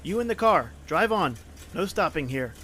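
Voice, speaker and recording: Radio drama: protective young trooper played by a man, reassuring, lively intonation. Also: a little high, moderate pace, slight background noise. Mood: commanding. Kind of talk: old-time radio drama acting.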